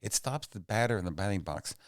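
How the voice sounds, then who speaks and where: A man in his sixties from Oklahoma with a loud voice set a little low. He tells stories in an animated, emphatic way, as a comedian guest in a small studio. The sound is close and dry. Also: fairly quick, no room sound. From Oklahoma, USA. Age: 60s. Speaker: podcast guest, stand-up comedian. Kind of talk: casual chat, storytelling.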